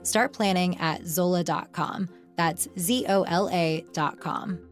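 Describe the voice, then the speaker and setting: Lowish-voiced woman reading a conversational ad. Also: unhurried. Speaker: advertisement announcer. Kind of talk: conversational scripted ad read. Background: faint music bed.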